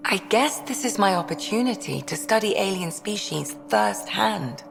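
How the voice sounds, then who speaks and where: A woman's voice: medium pitch, lively intonation, normal speed, gravelly, big-room sound, over music. Eager, nervous woman medic. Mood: keen. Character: eager, nervous female alien medic, science enthusiast. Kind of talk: video game dialogue acting.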